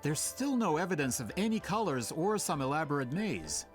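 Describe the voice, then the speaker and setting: A man voicing a courteous, driven, smooth-talking expedition leader in a video game, somewhat theatrical. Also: on the high side, sing-song, moderate pace, soft background music. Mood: sceptical.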